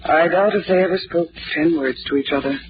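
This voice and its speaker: An actress playing a jealous, nervous wife in 1940s radio drama, with a tremulous, halting, melodramatic delivery. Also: female voice, low-pitched, slight background noise, close to an everyday voice. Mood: guarded, polite. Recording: dull, muffled 1940s radio recording.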